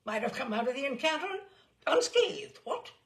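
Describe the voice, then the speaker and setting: A woman's voice: sits high, sing-song, moderate pace, a bit bright, pitched above the performer's own voice, heavily acted. A woman voicing a blustering, outraged old English general in a storybook reading, clipped and indignant. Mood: indignant snorting.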